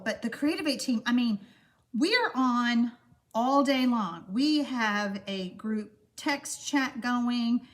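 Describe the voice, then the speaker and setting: Chatty woman, lively pitch. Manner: chatty, talks to viewers while working. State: froggy voice from allergies. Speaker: card-making demonstrator hosting a livestream. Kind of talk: casual livestream craft demonstration.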